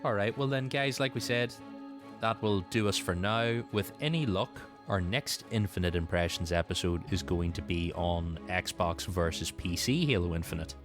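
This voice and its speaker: A man's voice: low-pitched, normal speed, over music. A man hosting a podcast in a casual, conversational style. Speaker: podcast host.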